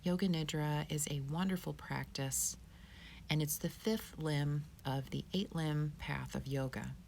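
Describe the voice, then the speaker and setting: Woman yoga teacher, soothing guided meditation, very unhurried delivery, calm, faint background noise. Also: sits low, gravelly.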